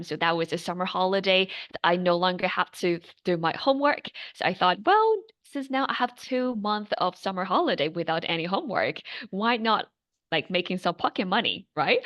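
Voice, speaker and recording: A woman's voice: fairly low pitch, a bit bright. A young woman from China with a Chinese accent, native Mandarin speaker, answering interview questions earnestly with many fillers and restarts. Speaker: guest tutor.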